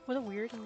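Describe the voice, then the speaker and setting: A woman's voice: on the high side, dull audio. A woman streaming a game, giving casual, slangy live commentary. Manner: informal, slangy.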